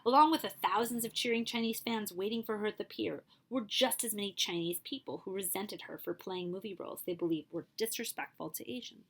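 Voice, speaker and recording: A woman librarian reading a picture book aloud at a steady storytelling pace, now and then stopping for a short aside to her listeners. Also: medium pitch, brisk, hardly any pauses.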